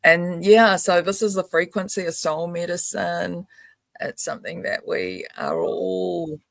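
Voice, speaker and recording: An older woman from New Zealand speaks in a soft, wandering way, often breaking into laughter. Her voice has a gravelly edge. The sound is dry and close, like a video call. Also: on the low side, lively intonation, unhurried, no echo. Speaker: spiritual grandmother representative. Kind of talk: casual spiritual talk.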